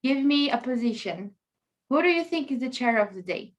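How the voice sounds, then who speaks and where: Woman, conference host, very high voice, enthusiastic, chatty, video call. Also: lively intonation, moderate pace, dull audio. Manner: chatty, enthusiastic, coaxing the audience to join in. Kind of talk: live online conference opening.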